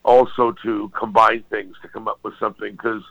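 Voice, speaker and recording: An older man from Hawaii, a guitarist guest, telling his story in a relaxed, rambling way with restarts and apologies. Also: on the low side, normal speed. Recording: dull lo-fi sound.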